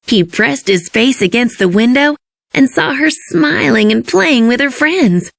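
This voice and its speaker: Lady reading a kids book out loud, expressive, bit of creak, really dry close sound. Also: high-pitched, a little slow, no room sound. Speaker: storybook narrator. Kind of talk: expressive scripted children's narration.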